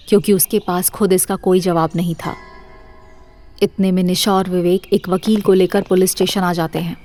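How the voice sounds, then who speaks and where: Low, even-toned woman narrating horror. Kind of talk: scripted horror story narration.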